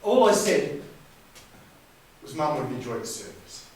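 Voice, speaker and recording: A man's voice: fairly high pitch, very animated, unhurried, slight background noise. A man playing a defensive, sarcastic brother in a stage play, articulate and indignant in his delivery. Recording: very echoey hall, distant mic, faint background ambience.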